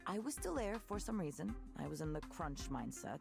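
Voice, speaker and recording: Candid female musician for visual novel: European accent, lower register, wry, conversational, over prominent music. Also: big pitch swings, gravelly, full character voice. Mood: storytelling. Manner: conversational, hesitant pauses, wry. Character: woman musician, candid ex-coworker, wry and animated. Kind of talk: naturalistic visual novel voice acting. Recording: music behind the voice. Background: game music.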